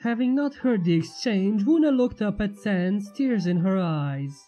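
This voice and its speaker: A woman narrates a fan fiction story. Her voice is mellow and medium in pitch, with lively movement as she reads the scripted prose expressively. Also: unhurried, faint music behind, poor audio.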